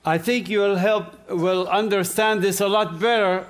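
A man from the Gaza Strip giving a church testimony in short phrases, pausing for an interpreter. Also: sits high, steady tone. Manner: speaks in short phrases with pauses for translation. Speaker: guest speaker. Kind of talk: testimony talk with live interpreter.

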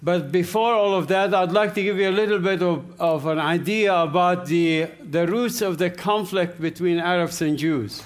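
A man from the Gaza Strip giving a church testimony in short phrases, pausing for an interpreter. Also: sits high. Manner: speaks in short phrases with pauses for translation. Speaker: guest speaker. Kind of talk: testimony talk with live interpreter.

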